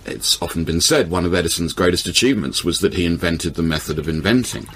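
A man speaking as an expert guest, explaining history in deliberate, articulate and emphatic phrases. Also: sits low, fairly bright tone, a little hiss or hum. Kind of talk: explanatory discussion.

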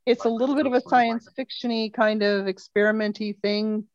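A woman's voice: a little high, fairly even intonation, moderate pace, no room sound. A woman chatting casually as a member of a book group, heard through a lo-fi connection. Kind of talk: casual chat. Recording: dull, lo-fi line.

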